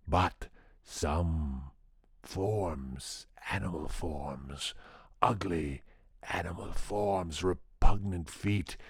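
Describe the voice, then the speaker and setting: Sly vain storybook snake, super deep raspy hiss, drags every word out all dreamy. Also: male voice, dry recording, clean studio sound, full character voice. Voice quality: raspy, hissing, breathy. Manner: languid, drawn-out, self-admiring. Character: vain, sly male king cobra performer in a trance. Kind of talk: storybook character voice.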